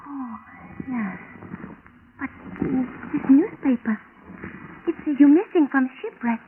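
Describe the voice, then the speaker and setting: A woman playing a guarded, earnest daughter with a foreign accent and halting, formal English in 1950s radio drama. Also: high voice, animated, narrow, tinny audio. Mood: startled, hesitant. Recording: thin, noisy old radio recording.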